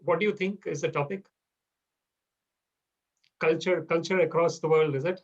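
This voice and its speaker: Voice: medium pitch, moderate pace, muffled recording. A person leading an online club meeting, agreeing often and steering the discussion. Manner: agreeable, short affirmations. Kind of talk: casual online meeting chat. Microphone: video call.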